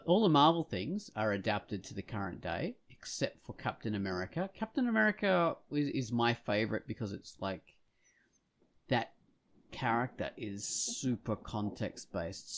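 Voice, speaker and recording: A man's voice: on the low side, gravelly, slight background noise. A man talking casually as a podcast co-host, hesitant and rambling as he thinks aloud. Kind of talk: casual discussion of films and comics.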